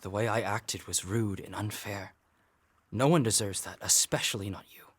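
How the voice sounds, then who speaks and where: A man's voice: lowish voice, normal speed, slightly rough. An actor playing a reserved, sincere young man in a Regency audio drama, earnest and restrained. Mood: contrite.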